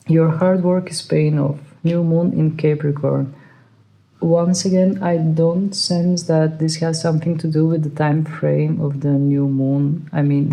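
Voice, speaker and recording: A tarot reader who is not a native English speaker, hesitantly searching for words with many fillers and soft laughs. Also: mid-range voice, vocal fry, poor audio. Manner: soft laughs while talking. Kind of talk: pick-a-card tarot reading.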